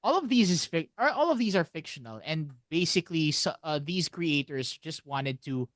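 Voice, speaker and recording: A man co-hosting a casual podcast, explaining things haltingly and often circling back to repeat phrases. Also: on the high side, big pitch swings, fairly bright tone, no room sound, clean studio sound. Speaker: podcast co-host.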